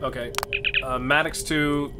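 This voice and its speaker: A man's voice: on the high side, fairly even intonation, a little slow. Thoughtful male tabletop player. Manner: thoughtful, lays out options. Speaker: player voicing the captain. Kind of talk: tabletop play discussion. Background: background music.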